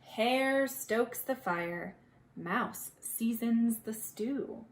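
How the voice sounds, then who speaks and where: A woman reading a children's storybook aloud as a preschool teacher, with expressive delivery and playful asides for young listeners. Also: fairly high pitch, very slow.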